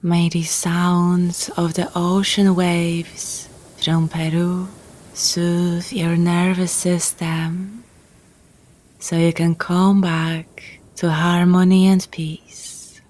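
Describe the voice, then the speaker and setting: A woman guiding a relaxation meditation in a calm, gentle, soothing manner, leaving long pauses between phrases. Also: lowish voice. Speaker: yoga nidra guide.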